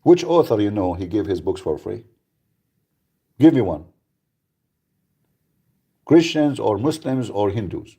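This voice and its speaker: A man hosts live radio talk in a blunt, emphatic way, often repeating himself and restarting sentences. His voice sits very low and has a gravelly, husky edge. The tone is dark and mellow. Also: sing-song, measured pace, pauses often. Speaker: radio show host.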